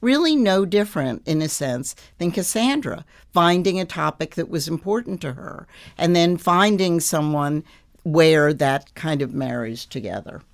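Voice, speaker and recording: A woman's voice: low-pitched, lively intonation, unhurried. A woman talking as a producer guest in a conversational panel interview, earnest and emphatic.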